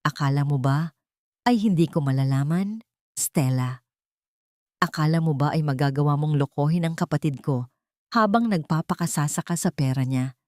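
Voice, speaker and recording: A woman voicing a wealthy, vengeful grown son in an audio story, speaking in a stern, deliberate, commanding way. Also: low-pitched, darkish tone, dry recording, clean studio sound, close to an everyday voice. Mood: cold, accusing.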